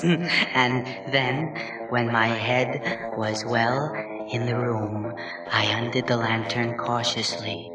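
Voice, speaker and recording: A gleeful madman from a gothic horror tale, acted by a man, tells his story slowly and theatrically, swinging between hushed creeping and frenzy. His voice sits at a middle pitch and rings in a roomy echo, off the mic, with music underneath. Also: far from the mic, natural voice. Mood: sly, hushed. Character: gleeful, unhinged madman murderer insisting he is sane.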